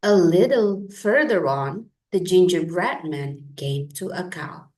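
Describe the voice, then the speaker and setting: A woman reading a children's picture book aloud in a deliberate, expressive storytelling manner. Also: on the low side.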